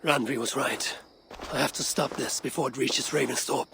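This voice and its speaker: An actor playing a steady, loyal Viking clan leader in a video game, measured and earnest, with a gravelly voice. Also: male voice, mid-range voice, background noise, close to an everyday voice. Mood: steady, concerned.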